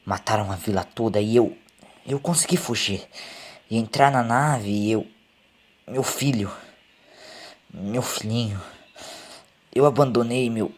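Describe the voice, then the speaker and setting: A man voicing a traumatized, grieving stowaway in an audio story, halting and repeating his words. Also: medium pitch, natural voice. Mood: broken, grieving. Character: traumatized stowaway, a grieving father, frantic and broken. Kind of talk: audio story character acting.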